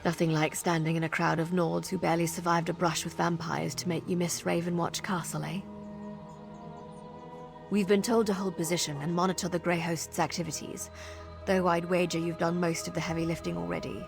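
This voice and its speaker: A woman's voice: lowish voice, level tone, fairly quick, creaky, over music. An actress playing a wry woman soldier in a video game, with a dry sense of humor.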